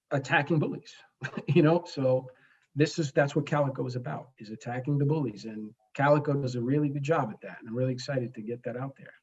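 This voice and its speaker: A man in his forties from the Bronx, a native Spanish speaker, speaking earnestly and haltingly as a guest in a conversational interview. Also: mid-range voice, darkish tone, dull audio. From the Bronx, New York, United States. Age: 40s. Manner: earnest, halting, frequent pauses mid-phrase. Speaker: guest, comic book creator.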